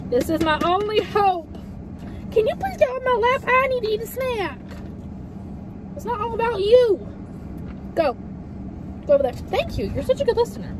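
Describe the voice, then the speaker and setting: Young Southern woman, playful car vlogger, high and animated, dashboard camera in a car with noise behind. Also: normal speed, long pauses. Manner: chatty, playful, excitable exclamations. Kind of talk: casual car vlog chat. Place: parked car, indoors. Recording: noisy car with outside ambience.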